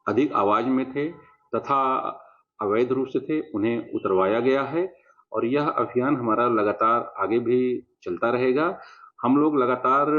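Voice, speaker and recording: In a dull, lo-fi field recording, a man with a mid-pitched voice gives an official statement. He speaks formally and haltingly, in measured bureaucratic phrases, as a police officer would.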